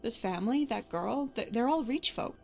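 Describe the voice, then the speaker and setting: A woman's voice: on the high side, expressive, normal speed, vocal fry, soft background music. Woman playing a tough, sardonic adventurer companion. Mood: worried, earnest. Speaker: voiced follower in a game mod. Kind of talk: fantasy video game character dialogue.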